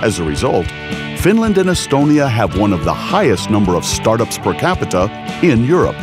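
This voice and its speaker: Male narrator, measured documentary read, animated pitch, over a guitar bed. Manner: measured, informative. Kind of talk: scripted narration. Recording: music bed under the voice. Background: guitar music.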